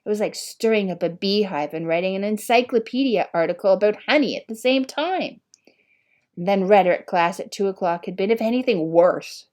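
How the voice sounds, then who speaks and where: A woman's voice: medium pitch, animated, a little fast, darkish tone. A woman narrating a storybook expressively as an audiobook reader.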